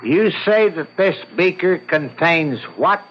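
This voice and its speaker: Skeptical prosecutor, high voice, challenging, indignant, formal, radio drama, dull vintage sound. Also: male voice, animated, takes it slowly, a little hiss or hum. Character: combative, skeptical male courtroom prosecutor. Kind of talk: old-time radio drama acting.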